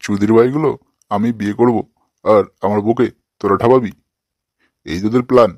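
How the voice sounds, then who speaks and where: Man playing a low-voiced grumpy old grandpa. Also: fairly even intonation, a little fast, darkish tone, no room sound, muffled recording, close to an everyday voice. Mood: indignant. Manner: complaining, coarse, swearing. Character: grumpy, lonely old grandpa wanting a bride. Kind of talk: comic cartoon dialogue.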